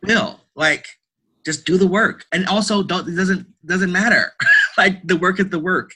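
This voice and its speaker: Guy getting interviewed about his art, bright punchy voice, super earnest, repeats stuff for emphasis. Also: sits high, moderate pace, breathy edge, rough recording. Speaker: guest, theatre director and artist. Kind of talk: conversational interview answers.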